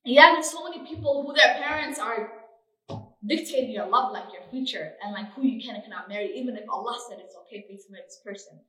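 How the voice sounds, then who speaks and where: A woman's voice: on the high side, fast talker, a bit bright, distant mic. A young woman whose first language is Somali, chatting casually and animatedly with slang and lots of "like". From Somali family. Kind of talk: casual chat. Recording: echoey room, voice a bit off-mic.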